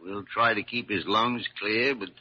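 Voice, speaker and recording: A man acting a crusty, sharp-tongued senior doctor in a classic radio drama, with a gruff, raspy voice. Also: fairly low pitch, fairly even intonation, unhurried, slight background noise, close to an everyday voice. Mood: worried. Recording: muffled old radio recording.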